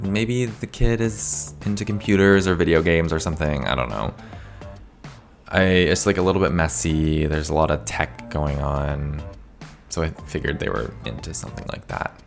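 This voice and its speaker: A young man from Canada, slightly hoarse from losing his voice, giving a chatty, rambling voice-over commentary with lots of "like". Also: deep voice. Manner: chatty, rambling, says "like" often. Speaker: video game builder, channel host.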